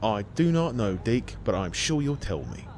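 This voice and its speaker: A man's voice: on the low side, big pitch swings, moderate pace, a little mellow, slight background noise. A man voicing a wary scrap diver in an audio story, dry and incredulous.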